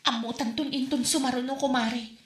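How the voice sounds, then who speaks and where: A woman's voice: high voice, steady tone, fairly quick, reverberant, natural voice. A woman with an Ilocano accent, playing a borrower who pleads and complains about a friend's demands. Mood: aggrieved. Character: harried borrower woman, defensive and aggrieved.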